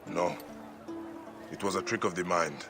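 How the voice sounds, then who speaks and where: A grave game hero; deep, clipped, weary delivery over a score. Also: male voice, a little slow, over music. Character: grave adult male warrior, stern and weary. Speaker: protagonist. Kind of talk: video game voice acting.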